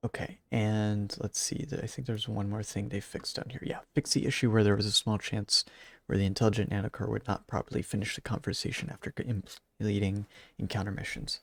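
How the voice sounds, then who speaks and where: A man commentating his gameplay in a casual, rambling way, speaking quietly late at night with a raspy, slightly congested voice. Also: lowish voice, fairly even intonation, fairly quick, no room sound. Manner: quiet, rambling with fillers and restarts. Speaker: gaming YouTuber.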